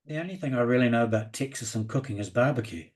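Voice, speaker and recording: A man's voice: mid-range voice, fast. A man hosting a podcast interview, friendly and conversational. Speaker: podcast host.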